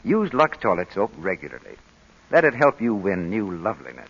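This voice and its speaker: A man's voice: lowish voice, huge pitch swings, a little fast, creaky, a bit bright, slightly rough. A man announcing an old-time radio show and reading sponsor copy in a formal period style. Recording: dull vintage radio broadcast recording.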